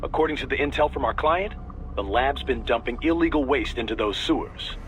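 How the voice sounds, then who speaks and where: Man acting a mid-pitched, dry mission briefer. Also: animated, a little fast, a little hiss or hum, natural voice. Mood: dry, businesslike. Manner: matter-of-fact, dry. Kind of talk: video game voice acting.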